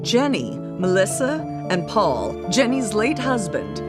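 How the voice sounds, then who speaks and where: A woman reading scripted narration for a radio mystery drama. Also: medium pitch. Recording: loud music behind the voice. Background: music.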